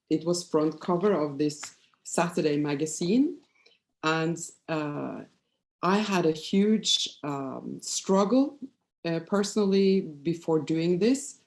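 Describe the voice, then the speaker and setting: Casting: woman, fifties, Norwegian accent, low-pitched relaxed voice, psychologist presenting personal material haltingly and candidly. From Norway. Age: 50s. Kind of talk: informal conference presentation with personal storytelling.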